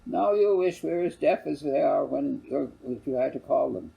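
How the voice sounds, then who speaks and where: Gravelly, wry country dad, read by a man. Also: fairly high pitch, lively intonation, moderate pace, mellow, voice pushed up, exaggerated voice, rough recording. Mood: wry exasperation. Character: plain-spoken, long-legged country father.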